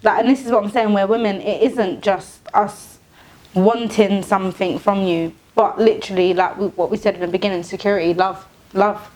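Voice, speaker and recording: A woman's voice: mid-range voice, fairly even intonation, moderate pace, a little mellow. Woman in her late twenties, earnest. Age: late 20s. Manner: earnest, defends her view, talks over others. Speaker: podcast panelist. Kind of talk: casual debate.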